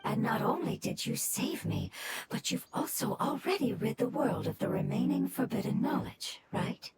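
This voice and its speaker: A woman voicing a gentle, sorrowful creature longing for its homeland in a video game, speaking formally and solemnly with breath that often sinks toward a whisper. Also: a little high, animated, rough recording. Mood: reassuring, grateful. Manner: formal, solemn, slow and deferential. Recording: music behind the voice. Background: game music.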